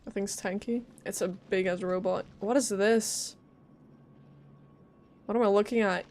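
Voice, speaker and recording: A woman's voice: medium pitch, steady tone, measured pace, a little mellow, a little hiss or hum. A woman giving casual live gameplay commentary, thinking aloud and often questioning what she sees. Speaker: game streamer. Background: faint game music.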